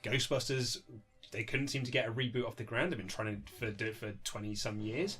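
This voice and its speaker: A man's voice: mid-range voice, brisk, a bit bright. A man being interviewed as an author, chatting casually in an anecdotal way, acting out quotes and swearing mildly. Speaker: interview guest, author and writer. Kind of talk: casual animated interview chat. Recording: lo-fi amateur setup, music underneath.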